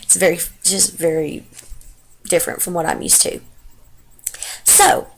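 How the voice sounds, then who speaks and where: A woman's voice: fairly low pitch, fairly even intonation, unhurried, vocal fry. A young woman with a heavy Texas accent, chatting casually and rambling through a home vlog, recorded on her computer's webcam mic. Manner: chatty and rambling, many fillers. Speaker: stay-at-home mom vlogger. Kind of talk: casual unscripted vlog chat. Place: home, partly the living room.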